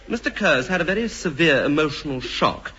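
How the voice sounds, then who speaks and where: An actor playing an earnest, pompous doctor with formal, deliberate phrasing in a 1950s radio comedy. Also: male voice, on the high side, expressive, pushed and bright, husky, exaggerated voice. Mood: grave, professorial. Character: earnest, pompous male doctor with a childish streak. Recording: noisy, dull old radio recording with clicks.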